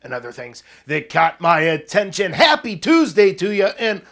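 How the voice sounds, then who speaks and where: Male online news host, high register, upbeat and conversational, punchy emphasis, wry asides. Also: very animated, normal speed, a bit bright. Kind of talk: casual, upbeat pop culture news commentary to viewers.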